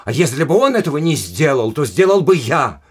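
An actor in an audio drama playing a haughty, indignant aristocratic official who speaks in an emphatic, scornful and domineering way. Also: male voice, a little high, very animated, a little fast, husky. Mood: imperious. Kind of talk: Russian audio drama acting.

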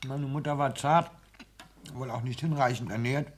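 An actor playing a meek, earnest, sickly young barber, speaking formally and plaintively in a 1960s German radio play. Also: male voice, mid-range voice, fairly even intonation, moderate pace, natural voice. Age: young adult. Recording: old radio recording with faint noise.

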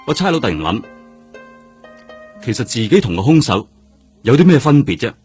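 An actor with a Hong Kong accent playing a seasoned, world-weary detective in a radio drama, speaking emphatically. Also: male voice, mid-range voice, sing-song, normal speed, a little mellow, soft background music, close to an everyday voice. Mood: intense, agitated. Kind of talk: Cantonese radio drama acting.

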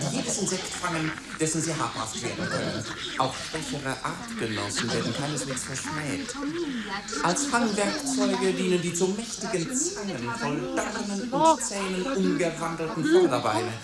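A woman's voice: lowish voice, sing-song, moderate pace. A woman playing a theatrical lecturer on insects in avant-garde radio, emphatic and savouring each word. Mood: relishing. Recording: echoey room, loud crowd-like din.

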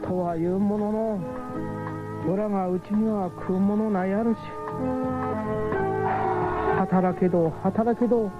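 A man's voice: high voice, fairly even intonation. Woeful starving Chinese man, mock accent. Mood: comic despair. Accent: mock Chinese-accented Japanese. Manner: drawn-out, exaggerated pidgin lament. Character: poor, hungry Chinese man, comically woeful. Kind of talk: 1970s radio drama comedy acting. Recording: muffled 1970s radio, loud music behind.

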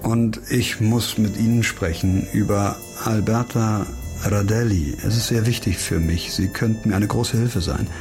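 A man's voice: on the low side, fairly even intonation, measured pace, darkish tone. An actor playing a sardonic, world-weary middle-aged man, a former doctor investigating in a radio crime drama. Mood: guarded, polite. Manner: sardonic, muttering asides to himself. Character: wry, world-weary disgraced doctor turned amateur investigator. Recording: faint music behind the voice.

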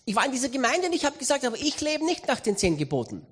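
A man with an Austrian accent preaching a sermon, telling a story with humor and lively emphasis. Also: very high voice, fast talker, slightly rough. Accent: Austrian German. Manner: animated, humorous anecdotal delivery.

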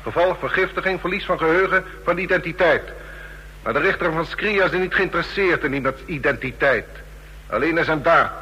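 Harsh fascist judge for radio drama: sharp, forceful, high-set voice, stern delivery, big echoing courtroom. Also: male voice, brisk, breathy edge. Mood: cold contempt. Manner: stern, clipped, declamatory. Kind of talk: radio play acting. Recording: reverberant hall, old radio play recording.

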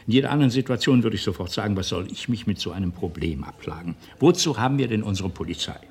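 A man's voice: on the low side, lively intonation, fast talker, breathy edge. Domineering middle-aged father, wildly swinging intonation. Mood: persuasive, exasperated. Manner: rhetorical, patronizing, swinging from reasoned to indignant. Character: domineering company boss father, self-righteous, manipulative, pleading. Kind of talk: German radio drama acting.

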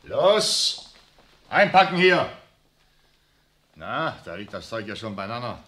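A brutal, mocking SS sergeant in an old radio play. His voice is husky yet sharp, leaping up and down as he snaps orders and jeers. He is a loud, coarse bully. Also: mid-range voice, big pitch swings, slow pace, full character voice. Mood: barking. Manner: barking orders, sneering laughs. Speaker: arresting officer. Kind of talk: 1960s radio drama acting.

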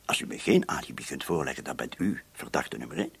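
Low, husky, probing police commissioner. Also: male voice, lively intonation, fast talker, full character voice. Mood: coolly pressing. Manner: formal, insistent, probing questions. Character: persistent, coolly polite male police commissioner investigating murder. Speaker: actor in a radio play. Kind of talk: Dutch-language radio drama acting.

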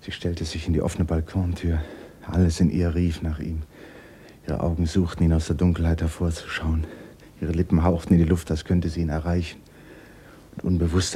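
A man narrating a literary radio drama in a solemn, measured, deliberate way. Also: deep voice, completely flat delivery, mellow, a little hiss or hum, natural voice.

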